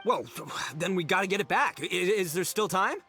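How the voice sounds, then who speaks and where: A man's voice: high voice, moderate pace, bright, forceful tone, soft background music. A man voicing a brash, dim, big-hearted young gang boss who blusters and bumbles theatrically in a video game. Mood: excitable, blustering.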